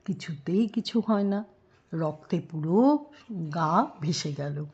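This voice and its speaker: A woman telling a children's story expressively, with drawn-out emphasis and rhetorical questions. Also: on the low side, expressive, a little mellow, breathy edge, lo-fi sound.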